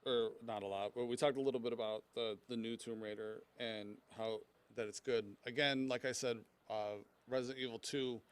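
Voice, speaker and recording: A man in casual podcast chat, speaking in a halting way and often repeating his phrases. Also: mid-range voice, fairly even intonation, smooth. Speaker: podcast panelist.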